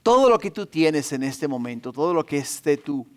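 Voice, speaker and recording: A man's voice: on the high side, sing-song, normal speed. A middle-aged man preaching a sermon as a pastor, emphatically repeating phrases and posing rhetorical questions. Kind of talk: sermon in Spanish to a congregation.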